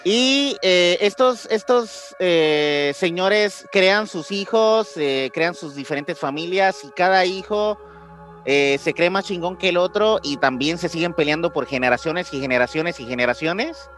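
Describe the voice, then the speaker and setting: A man with a Mexican accent chatting casually as a podcast co-host, halting and searching for words in slangy speech. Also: sits high, fairly bright tone. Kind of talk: casual podcast chat about ancient mysteries. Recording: music behind the voice.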